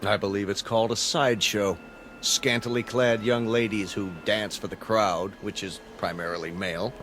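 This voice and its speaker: A man's voice: fairly low pitch, steady tone, moderate pace, fairly bright tone, a little hiss or hum, lightly acted. An actor playing a pedantic, coolly analytical space crewman in an audio drama, with dry, precise delivery. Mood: matter-of-fact.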